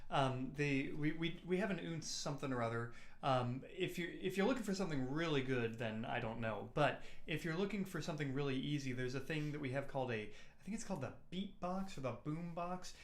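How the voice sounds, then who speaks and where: A man co-hosting an online session, explaining something in a rambling, halting way and repeating words. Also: fairly high pitch, animated. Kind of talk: casual chat. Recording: echoey room.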